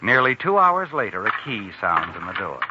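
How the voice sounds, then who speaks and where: A man acting as the knowing, needling narrator of a 1940s radio thriller, dramatic and deliberate, speaking straight to the protagonist. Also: lowish voice, big pitch swings, heavy background noise. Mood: knowing, ominous. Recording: muffled old radio recording, music behind. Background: dramatic music.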